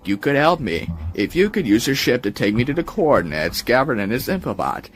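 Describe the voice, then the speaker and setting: A man voicing a small, polite robot who speaks in a formal, measured and precise way. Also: mid-range voice, very animated, natural voice.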